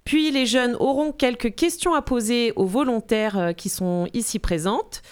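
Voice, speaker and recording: A woman's voice: a little high, measured pace, clear, resonant tone. A woman hosting a local radio interview program, introducing guests in a friendly, lightly scripted way. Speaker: radio show host.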